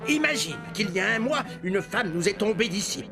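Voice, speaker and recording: A man voicing an exasperated, theatrical character in an animated cartoon, grumbling and declaiming. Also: high voice, fairly quick, music in the background. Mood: relieved, complaining. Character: exasperated father, and chatty grumbling demon of first rank.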